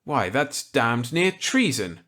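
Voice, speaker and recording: A man's voice: mid-range voice, sing-song, takes it slowly, a bit bright, pitched above the performer's own voice. A man voicing an earnest, resentful government scientist in a storybook reading, stiff and incredulous in delivery.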